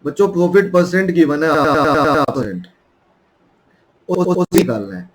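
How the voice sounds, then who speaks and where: A man's voice: a little high, lively intonation, unhurried, rough recording. A young man with an Indian accent and a sore throat, teaching a math lesson in a coaxing way and calling his students children.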